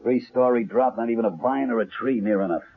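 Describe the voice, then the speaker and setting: A man's voice: medium pitch, fairly quick, natural voice. An actor playing a suspicious, blunt man in a 1970s radio mystery, curt and sardonic as he makes accusations. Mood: tense. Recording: tinny, band-limited old radio broadcast.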